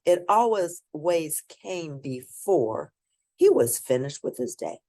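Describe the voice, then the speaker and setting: Woman reading a kids book out loud, slow and really swoopy, emphatic storyteller. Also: deep voice. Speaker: children's book reader and host. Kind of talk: expressive read-aloud of a picture book with asides to young listeners.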